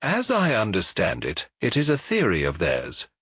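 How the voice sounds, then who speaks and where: A man's voice: lowish voice, huge pitch swings, moderate pace, fairly bright tone, husky, no echo, clean studio sound, heavily acted. A man voicing a tall private detective in an audiobook story, amused, careless and lightly conversational.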